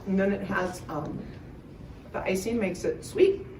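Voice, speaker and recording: A woman judging casually, thinking aloud in a halting way. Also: medium pitch, darkish tone. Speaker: guest cookie judge. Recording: echoey room, distant mic, faint noise.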